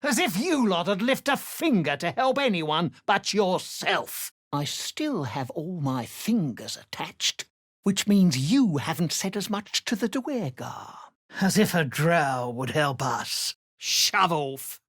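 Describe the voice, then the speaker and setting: Gruff, desperate miner, swinging pitch. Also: female voice, big pitch swings, slow, no echo, studio quality, full character voice. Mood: bitter, defiant. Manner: urgent, gruff, emotionally intense. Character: desperate, tough female miner held captive underground. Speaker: video game character voice. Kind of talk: scripted game character lines.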